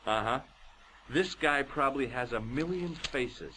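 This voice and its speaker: Deadpan detective type in an adventure game, mid pitch, noisy background. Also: male voice, measured pace. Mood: easygoing. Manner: wry, deadpan. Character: wry, easygoing young male amateur sleuth. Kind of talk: scripted game narration and dialogue.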